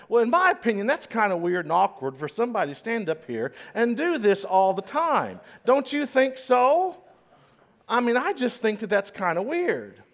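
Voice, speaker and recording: A man preaches from a church stage, swinging between loud and hushed for dramatic effect. His voice sits a touch high, and he stutters now and then. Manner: stutters at times. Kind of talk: sermon storytelling.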